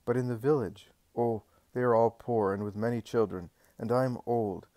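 A man's voice: medium pitch, steady tone, normal speed, mellow, dry recording, clean studio sound, lightly acted. A man voicing a poor, blind old village man who speaks in a trembling, pleading way. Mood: lamenting.

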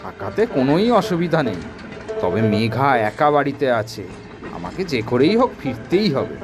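Lively, earnest office man played by a man. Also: medium pitch, moderate pace, natural voice. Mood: earnest, concerned. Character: considerate, dutiful male office worker, an elder-brother figure. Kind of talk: Bengali cartoon voice acting. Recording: loud music bed over dialogue. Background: music.

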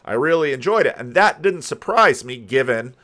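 An older man giving casual, rambling commentary as a host, halting and thinking aloud. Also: mid-range voice, expressive, fairly bright tone. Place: home room lined with books. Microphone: desk microphone, close, with headphones.

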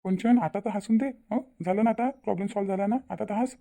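A man's voice: high-pitched, fast talker, creaky, dark tone. A man with a Varhadi Marathi accent voicing a devoted, insistent young fiance in a cartoon family drama. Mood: persuasive. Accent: Varhadi Marathi from Vidarbha. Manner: colloquial, emphatic repetition.

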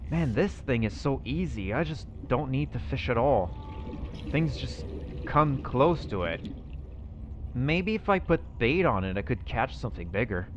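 A man's voice: medium pitch, lively intonation, measured pace. A man roleplaying a character over gameplay, thinking aloud to himself as he goes. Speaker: roleplaying gamer. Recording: echoey reverberant room with faint music.

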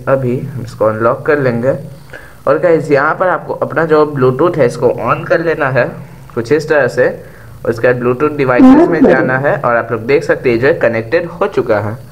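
A man's voice: mid-range voice, low-quality recording. An Indian man giving a casual hands-on DIY demonstration to viewers, mixing English words into his speech, recorded on a camera's built-in mic. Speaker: DIY tutorial host. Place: indoor room, sitting on a bare floor. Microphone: camera's own built-in microphone.

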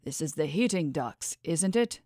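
A woman's voice: deep voice, animated, unhurried, mellow, no room sound, clean studio sound. A woman voicing a defiant human man held prisoner, wry and full of questions, in a fantasy audiobook. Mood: urgent, questioning.

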